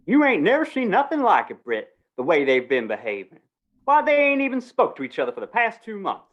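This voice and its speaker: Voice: medium pitch, huge pitch swings, brisk, lo-fi sound. An actor playing a gossipy small-town undertaker and justice in an old-time western radio drama, with drawling rural talk. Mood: eager gossip.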